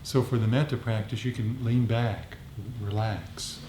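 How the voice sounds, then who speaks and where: A man's voice: on the low side, a little slow. A man leading an informal meditation group discussion, explaining reflectively and often repeating his phrases. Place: meditation group meeting room. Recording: echoey room, faint background noise.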